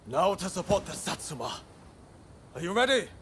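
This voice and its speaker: An actor playing a resolute, courteous samurai-era fighter in a game cutscene, with a measured, commanding and formal manner. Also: male voice, sits high, very animated, fairly bright tone. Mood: determined. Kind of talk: dramatic video game cutscene acting. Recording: faint background noise and ambience.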